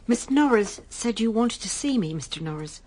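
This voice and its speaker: A woman's voice: on the high side, animated, moderate pace, darkish tone. An actress playing a timid, earnest married woman in a radio drama, hesitant, deferential and easily flustered. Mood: eager.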